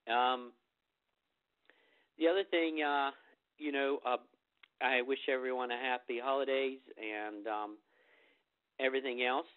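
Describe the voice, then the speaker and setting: A man's voice: medium pitch, fairly even intonation, unhurried, long pauses. Man on a tinny phone line. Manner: rambling, many fillers and restarts. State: getting over a cold. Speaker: meditation teacher hosting a group phone call. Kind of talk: informal spiritual talk before a meditation. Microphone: telephone.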